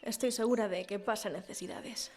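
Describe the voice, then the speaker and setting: A woman's voice: medium pitch, a little fast, darkish tone, close to an everyday voice. A woman playing a devout, anxious but strong-willed village mother in a radio drama. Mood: skeptical. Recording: music behind the voice.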